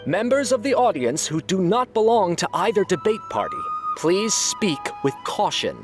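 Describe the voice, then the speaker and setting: A high male voice with wildly swinging pitch plays an officious adjudicator. He issues formal commands and stern reprimands. Faint music plays behind him. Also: very animated, normal speed. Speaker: voice actor in a game dub. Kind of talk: formal proclamations in game dialogue. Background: background music.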